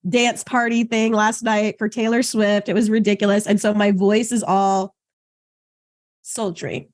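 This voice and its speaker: A woman co-hosting a podcast who is a therapist. She sounds hoarse and asks curious, upbeat follow-up questions. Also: mid-range voice, little pitch movement, a little slow.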